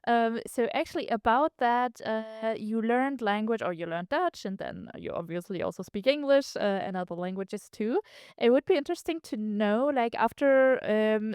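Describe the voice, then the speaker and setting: A woman's voice: fairly high pitch, a little mellow, clean tone, no echo, clean studio sound. A woman co-hosting a podcast interview, asking friendly questions and guiding the talk. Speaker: podcast co-host.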